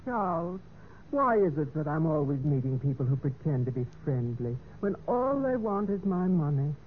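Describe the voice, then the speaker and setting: An actress playing an anxious, trusting middle-aged heiress in a golden-age radio drama, speaking in a fretful, plaintive way. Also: female voice, low voice, big pitch swings, normal speed. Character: wealthy, anxious, trusting forty-year-old heiress. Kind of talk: 1940s-50s radio drama acting. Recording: muffled vintage radio, faint hiss.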